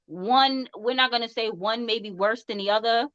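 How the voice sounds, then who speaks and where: Woman, firm advice-giving co-host, higher voice, dull dry sound. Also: normal speed, a bit bright, dry recording. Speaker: podcast co-host. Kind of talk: casual podcast chat. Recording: slightly dull, dry audio.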